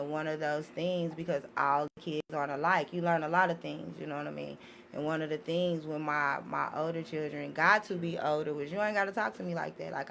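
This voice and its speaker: A woman's voice: deep voice, vocal fry, fairly bright tone. An American woman talking candidly and at length in a casual, opinionated group discussion, swearing freely. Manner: talkative, candid, profane. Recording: faint background noise.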